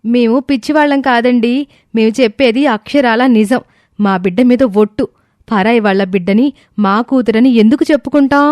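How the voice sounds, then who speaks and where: A woman's voice: fairly high pitch, brisk, darkish tone, no room sound, pitched above the performer's own voice, close to an everyday voice. A woman voicing a younger mother in a story, earnest and pleading, speaking with emphatic insistence.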